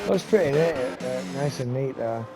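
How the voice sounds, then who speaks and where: A man giving live commentary on a sim race, talking to viewers and losing his voice, with race car engines loud behind him. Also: moderate pace. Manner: excitable, talks to viewers, rising to high bursts on action. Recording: noisy stream with engine sound. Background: race car engine noise.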